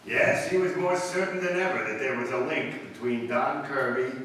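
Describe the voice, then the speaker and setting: A man performs an all-knowing narrator of an old radio mystery, dramatic and suspenseful. His mid-pitched voice comes from far off in a very echoey hall. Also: moderate pace, a bit bright, lightly acted. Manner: dramatic, addresses the character directly. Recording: distant echoey hall, faint noise.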